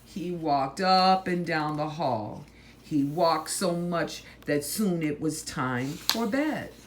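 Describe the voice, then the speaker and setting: Slow-paced woman reading a children's story. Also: low-pitched, expressive, background noise. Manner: deliberate phrasing with dramatic emphasis. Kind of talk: slow, expressive picture-book read-aloud.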